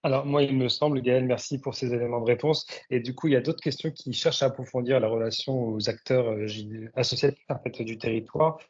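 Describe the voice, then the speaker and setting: A man's voice: mid-range voice, fairly even intonation, a little fast, hardly any pauses. A man moderating a webinar and relaying questions in a conversational way. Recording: dull, lo-fi online call audio.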